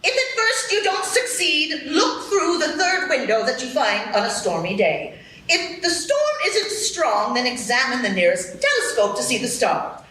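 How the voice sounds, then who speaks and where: A woman's voice: sits high, wildly swooping pitch, moderate pace, sharp tone, heavily acted. A woman voicing a fussy, officious rabbit court herald in a live radio play, with a formal announcing manner. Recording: echoey stage, faint noise.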